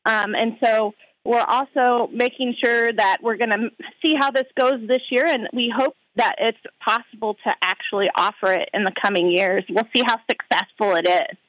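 A woman agronomist answering interview questions with frequent pauses and fillers. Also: on the high side, steady tone, a bit bright, dry recording, low-quality recording. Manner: hesitant, many fillers. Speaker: outreach agronomist guest. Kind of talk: interview by phone. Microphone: phone line.

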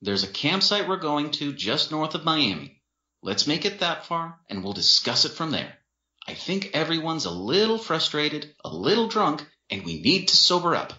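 A man plays a level-headed, muscular young boyfriend in an audiobook. He placates and reasons in a raised, forceful pitch. It is recorded in a roomy space with slightly dull sound. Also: very animated, normal speed, exaggerated voice. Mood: exasperated, reasoning.

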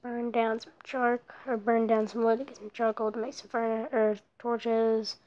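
A woman giving casual commentary over her own mobile block-building gameplay, rambling and often dropping into soft whispered asides, recorded on her phone. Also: a little high, flat delivery, moderate pace, dull audio. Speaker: let's play creator.

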